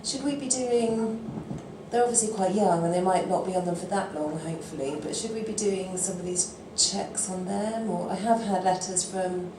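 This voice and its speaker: A woman's voice: mid-range voice, moderate pace. A woman doctor asking a question from the audience, hesitant with many fillers. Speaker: general practitioner asking a question. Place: lecture room audience. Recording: roomy hall with clear background noise.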